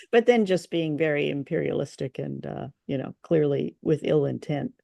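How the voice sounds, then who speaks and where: A woman co-hosting a podcast, chatting informally in a halting way. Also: sits low, vocal fry, darkish tone, dry recording. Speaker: podcast co-host. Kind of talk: casual chat, radio drama discussion.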